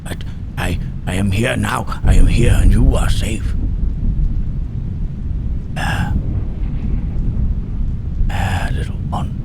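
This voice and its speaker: A man playing a gentle, remorseful and protective huge monster, Frankenstein's creature, in a halting, hushed, soothing ASMR roleplay with formal phrasing. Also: sits low. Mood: tender, apologetic. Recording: loud rain and thunderstorm noise.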